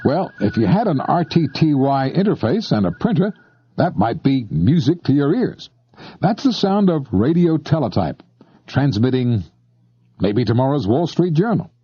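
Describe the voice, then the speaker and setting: A man narrates an instructional tape with lively, varied intonation and a mellow, relaxed tone. He talks directly to the listener in a conversational way, sounding slightly husky on a dry, somewhat dull tape recording. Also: mid-range voice, moderate pace, no echo. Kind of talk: scripted explanatory narration.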